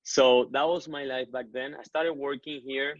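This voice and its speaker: A man's voice: medium pitch, fairly even intonation, moderate pace, no room sound. A man, a guest telling his story in a casual interview, earnest and often restarting his sentences. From Colombia. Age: 30s. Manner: earnest, many self-corrections and restarts. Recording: dull lo-fi dry recording.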